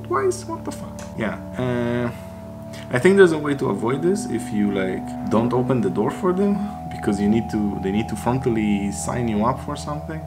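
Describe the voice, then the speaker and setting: Man, thirties, low voice, non-native English, from a Mediterranean country, informal sweary vlog chat, prominent guitar music behind. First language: not English. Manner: informal, sweary, exclaims in mock disbelief. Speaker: expat vlogger. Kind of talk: casual chat to camera. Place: small home room, indoors. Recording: loud guitar music underneath. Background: plucked guitar music.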